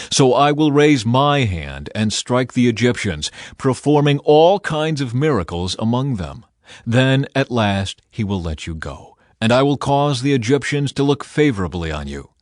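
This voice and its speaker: A man's voice: mid-range voice, no room sound, clean studio sound, close to an everyday voice. A man reading as a solemn, commanding male God. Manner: authoritative, declarative, measured. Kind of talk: dramatic scripture reading.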